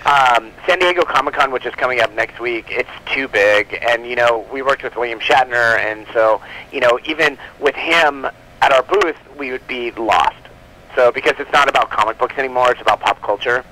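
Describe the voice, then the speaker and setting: A man's voice: medium pitch, normal speed, pushed and bright, poor audio. A man chatting casually as a comic book publisher guest on a show, jokey and peppering his talk with "like". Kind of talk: casual chat.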